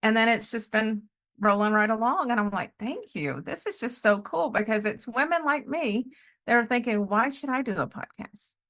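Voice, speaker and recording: A middle-aged woman chatting casually as a podcast host, telling personal stories of faith in an animated way and often acting out what people said. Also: fairly high pitch, normal speed. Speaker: podcast host and life coach. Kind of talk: casual conversational storytelling with faith testimony.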